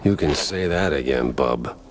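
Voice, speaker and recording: Low, deadpan noir detective. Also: male voice, measured pace, noisy background. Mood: wry. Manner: deadpan, sardonic. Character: hard-boiled, wry, world-weary male private detective mouse. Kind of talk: noir game narration and dialogue.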